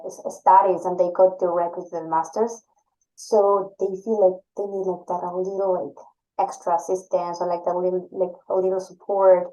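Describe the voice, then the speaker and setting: A woman's voice: lowish voice, warm tone, low-quality recording. A woman from Ecuador whose first language is Spanish, speaking earnestly as a podcast guest in English with small slips. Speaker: assistant director of graduate admission.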